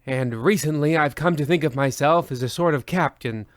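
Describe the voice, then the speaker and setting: A man playing a pompous, deadpan horror-anthology host, narrating in a formal old-time radio style. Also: fairly high pitch, moderate pace, no echo, studio quality, natural voice.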